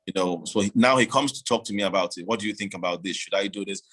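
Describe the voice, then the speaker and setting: Deep-voiced man, measured and reflective. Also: a bit bright, breathy edge, poor audio. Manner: measured, reflective, frequent "you know". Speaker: guest. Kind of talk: casual interview.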